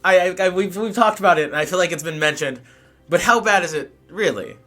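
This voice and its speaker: A man's voice: high voice, a little fast, sharp tone. A man playing a tabletop game, roleplaying casually in a hesitant, questioning way. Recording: music behind the voice.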